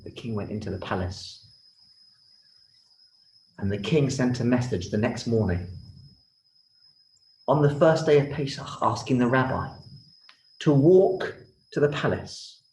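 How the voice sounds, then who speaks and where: A man telling a children's story in a theatrical, deliberate way, speaking straight to his listeners. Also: medium pitch, a little mellow. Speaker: rabbi and storyteller. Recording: roomy, echoing sound.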